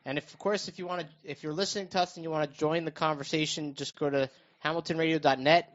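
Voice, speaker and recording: A man's voice: on the high side, fairly even intonation, fast talker, highs cut off. A man co-hosting a radio show, speaking informally as he relays announcements and listener comments. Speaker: radio co-host relaying chat room comments.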